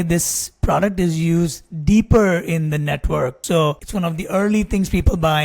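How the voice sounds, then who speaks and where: High-pitched man presenting a business tutorial. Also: a little mellow. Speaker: presenter, investor relations adviser. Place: small indoor room or home office. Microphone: close desk microphone.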